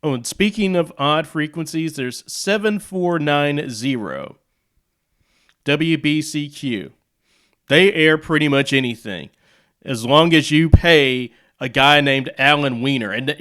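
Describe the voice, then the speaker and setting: A man's voice: on the high side, pushed and bright, clean tone. An American man explaining things casually and off the cuff, with rambling, conversational asides. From United States. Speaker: video host, radio hobbyist.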